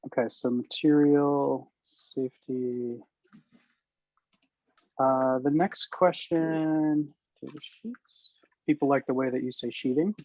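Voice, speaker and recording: A man's voice: medium pitch, steady tone, slow, long pauses, darkish tone, dry recording. A man hosting a webinar, relaxing into reading audience questions to the speaker. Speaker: webinar host. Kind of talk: moderating Q&A. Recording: dry, dull lo-fi mic.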